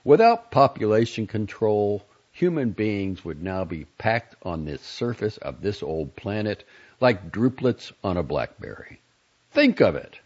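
A man's voice: lowish voice, sing-song, measured pace, a little mellow, muffled recording, pitched above the performer's own voice. A man voicing a centuries-old doctor in an audiobook story, lofty and courteous, lecturing with emphatic zeal. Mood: severe, lecturing. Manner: emphatic, oratorical.

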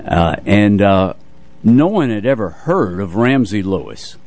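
Older man reminiscing, low-pitched voice. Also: big pitch swings, unhurried, darkish tone. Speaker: radio show co-host and music presenter. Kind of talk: casual reminiscing on a radio talk show.

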